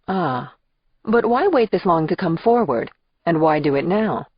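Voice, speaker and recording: A woman's voice: on the low side, lively intonation, measured pace, slightly rough, no room sound, dull audio. A woman playing a composed, sceptical female lawyer with formal, pointed questioning.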